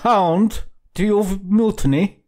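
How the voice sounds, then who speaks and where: A man's voice: mid-range voice, normal speed, darkish tone, pitched above the performer's own voice, natural voice. A man voicing a sly, sneering army captain in an audiobook, mocking, blustering and commanding. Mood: furious. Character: sly, sneering military captain, harsh recruiting bully. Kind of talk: audiobook character dialogue.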